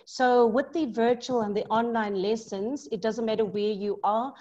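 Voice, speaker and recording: A woman's voice: fairly high pitch, moderate pace. Outgoing South African woman on a video call. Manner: lively, emphatic, outgoing. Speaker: maths tutor and business owner. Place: home study with bookshelves. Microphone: laptop mic on video call. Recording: muffled video call audio.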